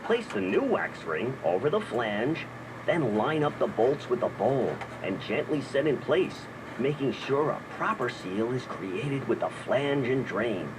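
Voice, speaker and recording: An actor playing a gentle father who reads aloud calmly, in a scripted radio ad. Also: mid-range voice, unhurried. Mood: steady reading. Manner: calm storytelling read, sheepish asides. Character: gentle father reading his daughter a manual. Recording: noisy background hiss.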